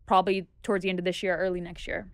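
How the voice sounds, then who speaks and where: A woman in her thirties, a podcast guest and activist, speaking earnestly in a casual interview with frequent fillers, recorded on a studio boom mic in a small podcast studio. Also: level tone, rapid-fire, no room sound. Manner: earnest, thoughtful, lists points with frequent fillers. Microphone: studio microphone on boom arm.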